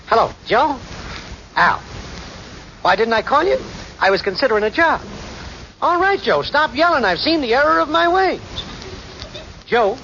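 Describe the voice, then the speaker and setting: High-pitched brash radio hustler. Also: male voice, big pitch swings, moderate pace, long pauses, sharp tone, full character voice. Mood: wheeling and dealing. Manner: slangy, cocksure, theatrical sweet-talk. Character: brash scheming small-time city hustler boyfriend. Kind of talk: 1940s radio comedy acting. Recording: noisy, muffled 1940s radio recording.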